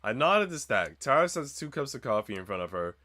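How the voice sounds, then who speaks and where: A man's voice: mid-range voice, big pitch swings, brisk, sharp tone, no room sound. A man reading a visual novel aloud as a streamer, narrating the script and dropping in slangy, joking asides.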